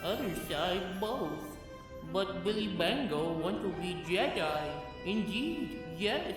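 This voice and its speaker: A man's voice: high voice, expressive, slow pace. A man voicing an eager small alien informant who speaks in broken, emphatic phrases in a comic dub. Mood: eager warning. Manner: broken grammar, emphatic repetition. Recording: cavernous echo, distant, music underneath.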